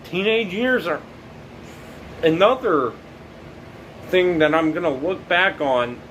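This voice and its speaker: A young man in his late teens talking casually about his life, rambling and halting, with many fillers and restarts. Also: on the high side, expressive, a bit bright, slight background noise, rough recording. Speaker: documentary subject. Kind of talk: casual reflective monologue.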